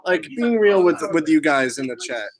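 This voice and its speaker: Lively young man hyping a crowd. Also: a little high, normal speed. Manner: hyped, rallying the chat. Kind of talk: casual chat.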